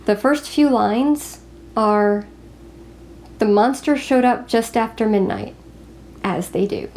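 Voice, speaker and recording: A woman co-hosting a casual book chat, talking in an expressive, confiding way. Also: a little high, slow pace. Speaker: co-host of a book review show.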